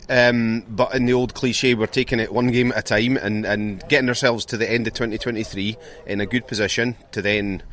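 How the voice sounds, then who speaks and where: Male coach, low pitch, measured and hesitant, faint outdoor noise. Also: a bit bright. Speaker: rugby club coach being interviewed. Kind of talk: pre-match sports interview.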